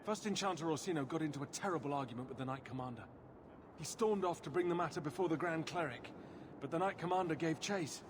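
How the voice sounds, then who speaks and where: An actor playing an anxious subordinate in a video game, urgent, fearful and formal. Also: male voice, a little high, fast talker, close to an everyday voice. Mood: alarmed. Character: anxious male subordinate bringing alarming news. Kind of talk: video game cutscene dialogue. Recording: clear background noise.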